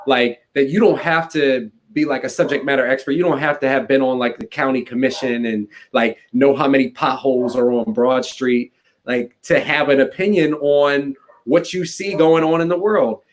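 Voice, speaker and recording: A man in his late twenties speaks as a podcast guest, a political consultant. He talks quickly and casually, with a constant stream of "like" fillers. His voice sits at an ordinary middle pitch. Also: fairly even intonation, slight background noise. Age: 20s. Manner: fast, conversational, peppered with "like". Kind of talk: casual interview chat.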